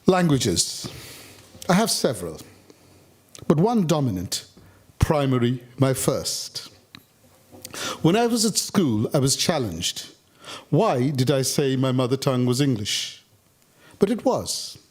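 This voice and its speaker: A man from India reading his own literary essay aloud on stage, in a measured, rhythmic way with deliberate pauses. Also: medium pitch, sing-song, husky. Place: stage of a public talk venue.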